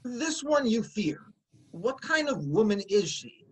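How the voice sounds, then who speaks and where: Old messenger, high-pitched, husky, deferential, eager, Greek tragedy reading. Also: male voice, sing-song, measured pace, a bit bright, exaggerated voice. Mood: probing, kindly. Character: eager old messenger from Corinth, humble and cheery.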